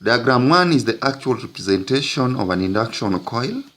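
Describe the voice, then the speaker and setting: A man teaching physics, narrating an explanatory lesson in deliberate, halting phrases with frequent breaks. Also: mid-range voice, expressive, fairly bright tone, slight background noise.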